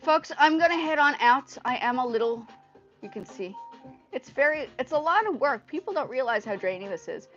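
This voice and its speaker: A woman from Indonesia, a native Indonesian speaker, hosting a casual livestream in a rambling, animated way, often repeating her phrases. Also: sits high, normal speed, soft background music. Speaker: livestream host. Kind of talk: casual livestream chat with viewers. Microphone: earpiece mic on a phone. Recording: dull lo-fi livestream audio through earpiece mic.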